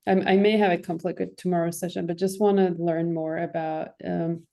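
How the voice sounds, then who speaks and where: A woman's voice: lowish voice, flat delivery, fairly quick, creaky, dark tone. A woman introducing herself on a video call as a tech consultant starting a coaching business, rambling with fillers.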